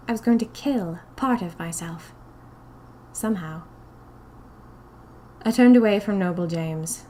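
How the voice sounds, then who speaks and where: A woman narrating scripted first-person fiction in a deliberate, dramatic manner. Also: medium pitch, a little mellow, slight background noise.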